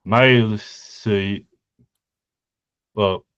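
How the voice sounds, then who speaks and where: A young man talks slowly and haltingly, circling his ideas with fillers and restarts. His voice is smooth and fairly low. The sound is dry and a little dull. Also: a bit bright, no echo. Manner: hesitant, lots of fillers and restarts. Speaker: podcast co-host. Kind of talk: casual conlang design discussion.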